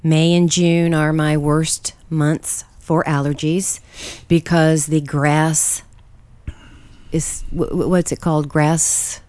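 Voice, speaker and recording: A woman's voice: low voice, little pitch movement, darkish tone, dry recording. Stuffy-nosed woman trading sarcastic quips. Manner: quick comebacks, sarcastic. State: stuffy, nasal from allergies. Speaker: podcast co-host. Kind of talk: casual banter podcast. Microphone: studio mic.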